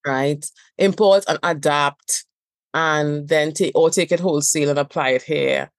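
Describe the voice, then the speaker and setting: A woman's voice: low-pitched, fairly even intonation, measured pace, dry recording. A woman from Trinidad and Tobago with a Trinidadian accent, a therapist guest speaking emphatically with rhythmic repetition in a podcast conversation.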